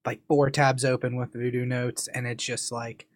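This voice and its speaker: A man's voice: medium pitch, little pitch movement, normal speed, dry recording, clean studio sound. A man hosting a podcast, chatting casually and making self-deprecating jokes with his co-host. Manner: self-deprecating, joking banter. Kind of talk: casual chat.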